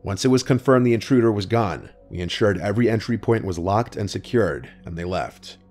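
Low-voiced man narrating hushed horror. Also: expressive, fairly quick. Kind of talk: scripted first-person horror narration. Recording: soft music underneath.

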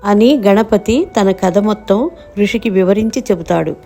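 A woman narrates a children's tale from a script. Her voice is medium in pitch and dark, soft-edged in tone, with music playing behind. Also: a little slow.

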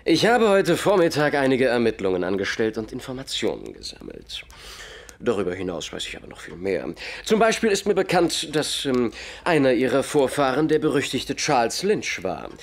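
An actor playing a shrewd, relentless male detective in an audio drama, speaking in a theatrical, deliberate, pointed way. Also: mid-range voice, huge pitch swings, vocal fry, heavily acted. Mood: probing, assured.

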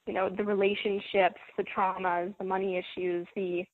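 A woman leads a self-help call in a gentle, encouraging, measured voice. Her voice is a little husky and comes through a thin, tinny phone line with no room sound. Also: mid-range voice, little pitch movement, no room sound. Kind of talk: coaching talk.

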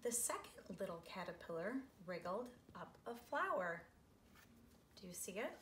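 Woman reading to children, roomy sound. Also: on the low side. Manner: expressive, drawn-out phrasing, asking young listeners questions. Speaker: read-aloud storyteller for children. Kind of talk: children's picture book read-aloud. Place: small living room, seated on a couch. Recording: roomy home recording with background noise.

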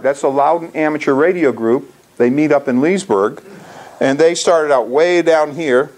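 A man in his sixties with a gravelly voice, giving an informal slide presentation to a club. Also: mid-range voice, a little mellow. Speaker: amateur radio club presenter. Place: indoor lecture room.

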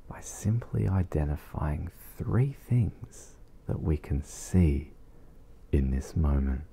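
Very deep, slow, breathy man. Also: a little hiss or hum. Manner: soft, soothing, breathy, with long pauses. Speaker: guided meditation host. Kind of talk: ASMR guided meditation.

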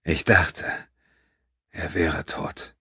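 A haunted, bitter father in an audiobook, acted out by a male reader. His voice is extremely deep and husky, halting and trembling under guilt and grief. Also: fairly even intonation, pushed and bright, dry recording, studio quality, full character voice. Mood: shaken, trembling.

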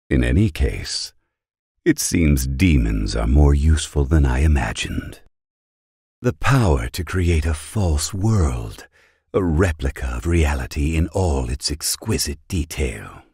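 A man playing a scheming, scholarly villain in a fantasy game, coolly amused, with a gravelly, husky voice and a slow, musing delivery. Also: extremely low pitch, a little mellow, dry recording, studio quality, heavily acted. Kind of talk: fantasy video game voice acting.

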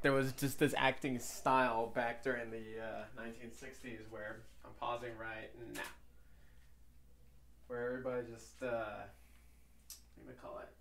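A young man rambling casually to himself while doing live commentary on an old movie, full of fillers and restarts. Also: mid-range voice. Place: at home.

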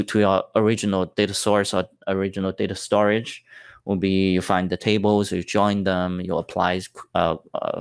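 Man, very low voice, smooth, dry close sound, tech talk, halting with fillers. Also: no room sound. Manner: explanatory, many fillers and restarts. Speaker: tech presenter. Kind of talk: conference talk with slides.

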